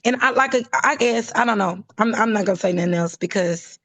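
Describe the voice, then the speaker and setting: A young woman from Texas with a hoarse voice, chatting casually and haltingly as a livestream caller. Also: mid-range voice, fairly bright tone, no echo. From Houston, Texas, USA. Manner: halting, frequent use of like. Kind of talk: casual chat.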